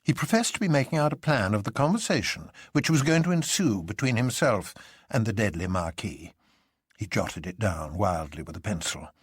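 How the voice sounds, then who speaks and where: A man narrating a literary story in an expressive, read-aloud style. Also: on the low side, moderate pace, breathy edge, no echo, studio quality.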